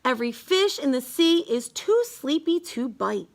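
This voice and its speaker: A woman reading a rhyming children's bedtime story aloud, halting now and then with small stumbles over words. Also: sits high, sing-song. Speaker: storybook reader.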